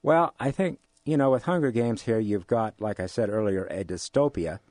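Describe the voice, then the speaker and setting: An older man with an American accent explains things in an interview, haltingly, repeating phrases for emphasis. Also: on the low side, darkish tone, no echo, studio quality. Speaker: economics instructor giving an interview.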